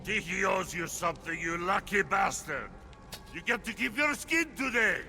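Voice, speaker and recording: A brutal, menacing cult bully from a video game. The voice is high and very animated, barking domineering threats and curses over clear background music. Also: male voice, normal speed, full character voice. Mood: gloating. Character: brutal, sadistic, foul-mouthed male cult bully. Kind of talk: dramatic video game acting.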